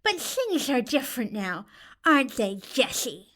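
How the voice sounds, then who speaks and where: A woman's voice: fairly high pitch, big pitch swings, a little slow, a bit bright, full character voice. Woman as a grandiose nerdy boy necromancer villain. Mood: smug, bitter. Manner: grandiose, menacing, theatrical. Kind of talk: audio drama acting.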